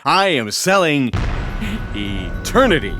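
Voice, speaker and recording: A man's voice: a little high, sing-song, slow pace. A man playing a glib, squeamish shapeshifting alien in a comedic audio drama, chatty and fussy. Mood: eager salesman. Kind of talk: comedic audio theatre acting. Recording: music behind the voice.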